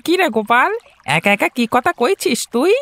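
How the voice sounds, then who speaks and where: A woman's voice: high-pitched, lively intonation, moderate pace, a bit bright, heavily acted. A woman voicing a worried, gloomy older male village farmer with a fretful, folksy manner, in a children's cartoon. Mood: curious.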